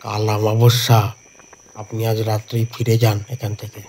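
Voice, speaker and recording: Man acting anxious rustic tea seller, sharp voice. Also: fairly low pitch, monotone, lightly acted. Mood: ominous warning. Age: 50s. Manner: deferential, slow ominous warnings. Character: anxious rustic tea-stall keeper over fifty, warning a visitor. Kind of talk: audio horror story acting. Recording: faint noise, night insects behind.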